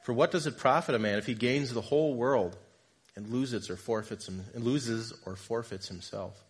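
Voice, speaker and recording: Husky-voiced man preaching casually. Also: mid-range voice, normal speed. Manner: conversational, jokes with congregation, self-deprecating. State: slight cold, sniffly. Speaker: lay preacher. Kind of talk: informal sermon. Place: church.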